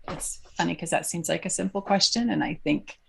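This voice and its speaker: A middle-aged woman from New Jersey, a podcast guest speaking thoughtfully with many pauses and fillers. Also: lowish voice, vocal fry, a bit bright, slight background noise. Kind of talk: conversational interview.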